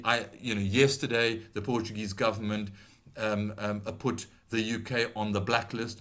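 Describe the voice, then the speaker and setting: A middle-aged British man with a slight South African tinge to his accent, presenting a webinar in a measured, advisory way with frequent ums and restarts. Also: on the low side, fairly bright tone. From Britain. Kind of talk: explanatory webinar talk.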